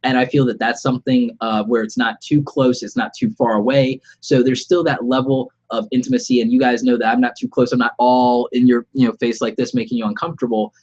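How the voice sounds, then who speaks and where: A man with a cold talks through it on an unscripted live stream, his voice nasal and a bit husky from a sore throat. He speaks in a mid-range voice, relaxed and conversational, offering encouraging advice. The webcam audio is slightly dull and muffled. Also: medium pitch, fairly quick, few pauses. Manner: conversational, upbeat advice-giving. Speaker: vlogger. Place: home studio room, alone. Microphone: webcam about arm's length away.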